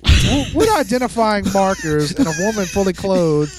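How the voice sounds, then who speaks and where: A man's voice: high-pitched, big pitch swings, normal speed. A man co-hosting a podcast, wisecracking in a mock-serious way over a noisy track. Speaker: podcast co-host. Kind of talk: casual banter. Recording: clearly noisy, clicky track.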